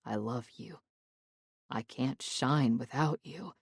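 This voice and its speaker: A woman voicing a remorseful young man in love, speaking low and gravelly in a pleading, apologetic way. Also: normal speed, a little mellow, no room sound, clean studio sound. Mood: pleading tender. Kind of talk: audiobook character voice.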